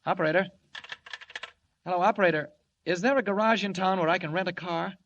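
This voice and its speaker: An actor playing a mild, polite, boyish newspaper reporter, light and courteous, in 1940s radio style. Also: male voice, high voice, a little fast, a bit bright. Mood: brisk. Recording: dull old radio recording.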